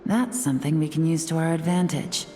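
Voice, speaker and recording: Game role: calculating woman detective played by an actress, deep for a woman, unruffled and persuasive. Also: fairly quick, warm tone, faint music behind, close to an everyday voice. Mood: observant, calculating. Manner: composed, sly, persuasive. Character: cool, shrewd, calculating female investigator. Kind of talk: video game voice-over.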